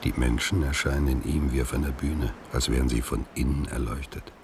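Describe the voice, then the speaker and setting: An actor playing a wistful man recalling an old love, in measured, reflective, literary radio drama narration with a very deep gravelly voice. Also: steady tone, background noise.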